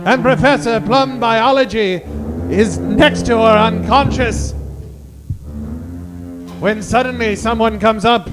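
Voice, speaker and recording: Very high-pitched theatrical male radio announcer. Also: slow pace, pauses often, music in the background, rough recording. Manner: theatrical, grandly suspenseful. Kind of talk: 1940s radio serial announcing.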